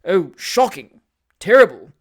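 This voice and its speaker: A reader voicing a sly, fawning young manservant who whines and gushes with false tears. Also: male voice, sits high, very animated, a little slow, exaggerated voice. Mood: simpering. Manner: whining, simpering, gushing.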